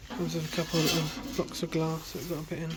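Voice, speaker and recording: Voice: mid-range voice, quick pace, heavy background noise. Chatty vlogger losing their voice. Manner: chatty, relaxed, many fillers and restarts. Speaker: van conversion vlogger. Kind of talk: casual vlog narration. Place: inside a small campervan.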